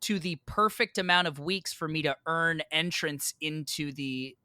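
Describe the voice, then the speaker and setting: A man's voice: fairly high pitch, unhurried, clean tone, no echo, clean studio sound. A man telling a personal anecdote casually as a podcast guest, acting out both sides of remembered conversations. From United States, New York. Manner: animated, acts out both sides of remembered exchanges. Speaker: podcast guest, stage actor.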